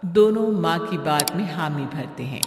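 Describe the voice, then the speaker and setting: A woman's voice: low-pitched, expressive, normal speed, background noise. A woman reading scripted storybook narration as the narrator of a moral tale. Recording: roomy, long reverb.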